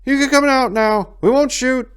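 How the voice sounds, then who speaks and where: A man acts a half-starved, startled monk from a fantasy storybook. His voice leaps far up in pitch, wary and exclamatory. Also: moderate pace, fairly bright tone, smooth. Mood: startled relief.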